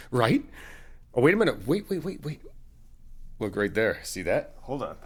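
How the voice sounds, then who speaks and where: A young man in an ASMR comfort roleplay, talking to the listener as a reassuring friend in a chatty way with soft laughs. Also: mid-range voice, very animated, a little slow, slightly rough.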